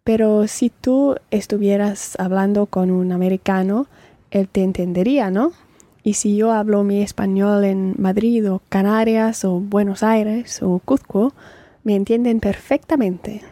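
Woman reading chatty dialogue character, mellow voice, measured and clear, dry studio sound. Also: medium pitch, fairly even intonation, no room sound, lightly acted. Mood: friendly.

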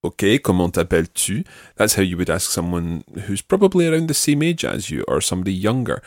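A man with a low, animated voice leads a language lesson in a dry, close recording. He prompts patiently and pronounces each phrase with care, in an instructive manner. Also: fast, dry recording. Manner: patient, instructive, carefully enunciated. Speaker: French language teacher.

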